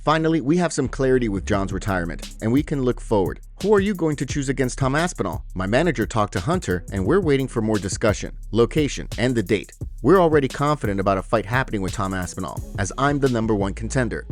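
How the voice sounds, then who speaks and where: A man's voice: medium pitch, animated, quick pace, few pauses, faint music behind. A man presenting MMA news as scripted narration, reading out reports and quotes.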